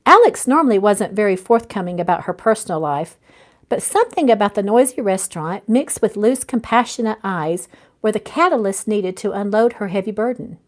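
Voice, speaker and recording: A woman's voice: mid-range voice, expressive, a little fast. A woman author reading their own romance novel aloud in a storytelling narration.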